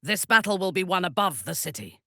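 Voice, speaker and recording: A woman playing a commanding, ruthless zealot warrior in a video game, speaking with a low husky voice and a measured, imperious, menacing manner. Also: very animated, pushed and bright, dry recording, clean studio sound, full character voice. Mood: cool, measured. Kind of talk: video game character voice lines.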